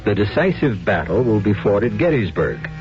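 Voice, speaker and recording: A man narrating as host of a radio drama, deliberate and confiding, in a theatrical storytelling style. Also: fairly low pitch, sing-song. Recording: dull 1970s radio recording. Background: music.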